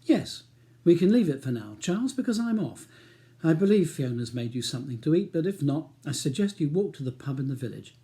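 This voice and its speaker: A man reading a novel, voicing an exasperated, sharp-tongued upper-class English wife with a clipped, argumentative manner. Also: on the high side, very animated, fairly quick. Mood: coldly dismissive.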